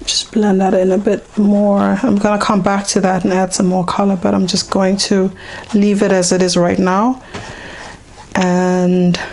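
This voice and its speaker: A woman with a hoarse, partly lost voice, casually talking a beginner through a painting step by step, unhurried and with many ums. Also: medium pitch, flat delivery, darkish tone, a little hiss or hum. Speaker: painting teacher.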